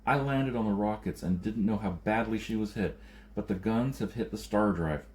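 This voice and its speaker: A man voicing an anxious, quick-witted male outsider in an audiobook, speaking in an earnest, pressing manner. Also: lowish voice, a little mellow, lightly acted. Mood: urgent bluffing.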